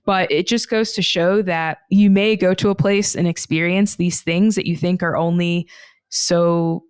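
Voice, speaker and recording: A woman guest on a podcast, telling personal travel stories in an earnest, reflective way and slowing down on the heavy points. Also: fairly low pitch, steady tone, clear, resonant tone, no echo. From United States (Texas).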